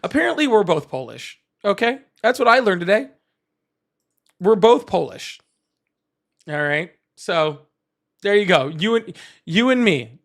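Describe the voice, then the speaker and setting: A man hosting a casual political call-in livestream, animated and chatty, laughing often and checking in with "all right?" and "okay?" as he reads chat and argues with callers. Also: high-pitched, measured pace, long pauses. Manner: argumentative with callers. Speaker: livestream host.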